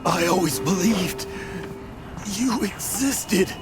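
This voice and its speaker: A man playing a humble, shaken adventurer in a video game, speaking haltingly and full of feeling. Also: high-pitched, loud music behind the voice. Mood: awed. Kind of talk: video game character dialogue.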